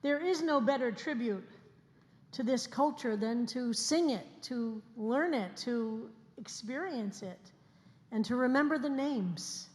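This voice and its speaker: A woman in her sixties, a rabbi, giving an earnest sermon to her congregation and slowing down to stress key phrases. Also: a little high, a little mellow. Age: 60s. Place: synagogue sanctuary, livestreamed service. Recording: long hall reverb.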